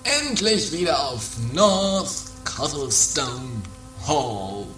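Man acting forgetful husband, high swooping voice. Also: very animated, heavily acted. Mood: musing. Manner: affected, hesitant, drawn-out. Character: Ottokar, forgetful meek henpecked husband. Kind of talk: amateur comedy radio play acting. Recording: roomy distant 1980s home recording, music behind.

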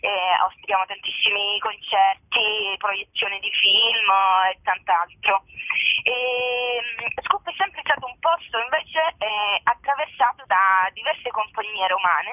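A woman's voice: medium pitch, slow, no echo. A woman interviewed over a telephone, explaining informally as an event organiser, searching for words as she goes. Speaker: cultural venue organiser, interview guest. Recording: narrow, tinny telephone line.

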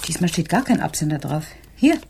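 A woman playing a busy, mildly exasperated mother in a children's radio play, coaxing and gently chiding in an everyday way. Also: sits low, sing-song, fairly quick, slight background noise. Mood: casual, teasing.

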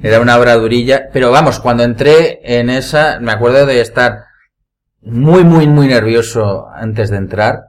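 A man's voice: medium pitch, normal speed. Male podcast panelist, Spain accent, mid-range voice, recounting stories informally among friends. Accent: Spain Spanish. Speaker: podcast panelist and amateur actor. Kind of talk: casual group chat, telling anecdotes.

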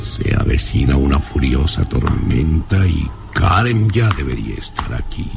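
An actor playing a brooding, worried baron in a vintage radio drama, with an ominous, dramatic delivery. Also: male voice, extremely low pitch, sing-song, measured pace, creaky, over loud music, exaggerated voice. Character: brooding, worried old male baron in gloomy castle.

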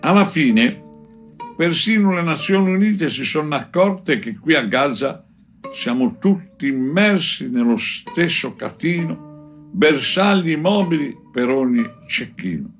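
Slow, deliberate male reader over faint guitar. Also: fairly high pitch, lively intonation. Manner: measured, deliberate phrasing with frequent pauses. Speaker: reader of a book chapter. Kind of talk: narrated audiobook-style reading of a first-person war chronicle.

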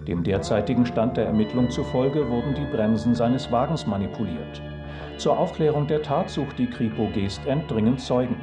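An actor playing a male broadcaster reading a police appeal, formal, official and measured, in a radio drama. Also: medium pitch, recorded from a distance, natural voice. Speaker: announcer. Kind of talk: scripted crime bulletin reading.

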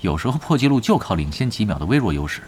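A man's voice: lowish voice, big pitch swings, very fast, a little mellow, slight background noise. An actor playing a patient, teasing veteran gamer who coaches his team in an audio drama. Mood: instructive. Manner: instructive, drily teasing. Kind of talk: Chinese radio drama acting.

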